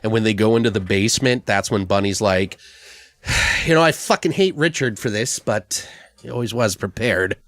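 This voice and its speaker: A man's voice: on the low side, moderate pace, a bit bright, dry recording. A man hosting a podcast, casually brainstorming comic story ideas in a crude, jokey, sweary way. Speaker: podcast host. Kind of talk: casual comedic brainstorming chat.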